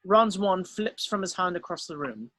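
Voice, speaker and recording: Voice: mid-range voice, expressive, normal speed, fairly bright tone, no echo. A narrator reading screenplay stage directions as scripted narration, now and then stumbling over the text.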